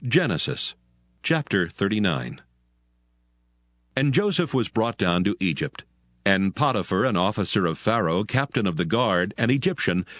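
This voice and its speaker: A man's voice: lowish voice, dry recording, studio quality. A man reading a scripted, dramatized scripture story as its narrator.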